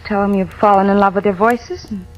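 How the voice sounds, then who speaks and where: A woman's voice: mid-range voice, steady tone, a little fast, muffled recording, lightly acted. An actress playing a wry, tired, plucky young working woman in an old radio drama, with plain-spoken delivery. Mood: wary, hurt. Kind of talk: 1940s-50s radio drama acting, casual banter. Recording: vintage radio drama, faint music.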